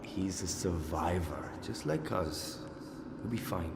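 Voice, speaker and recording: Gentle father in a roomy space. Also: sits low, expressive, slow, gravelly, background noise, full character voice. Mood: sheepish, soothing. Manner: gentle, reassuring. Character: tender, earnest young father, protective handyman of magic. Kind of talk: video game cutscene acting.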